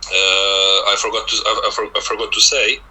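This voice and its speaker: A man's voice: fairly low pitch, level tone, normal speed, bright, forceful tone, husky, rough recording. A man with a Polish accent, a native Polish speaker, giving casual football analysis as a scouting guest and often stammering over repeated words. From Poland.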